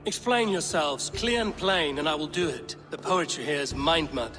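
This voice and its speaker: An actor playing a stalwart Viking warrior in a video game, plain-spoken, terse and firm. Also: male voice, a little high, lively intonation, moderate pace, natural voice. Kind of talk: video game cutscene acting. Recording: prominent music behind the voice.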